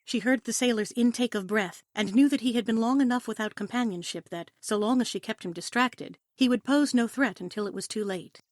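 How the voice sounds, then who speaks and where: A woman's voice: a little high, a little fast, hardly any pauses, a little mellow, no echo, clean studio sound. A woman reading scripted story narration for an audio play in a dramatic storytelling style.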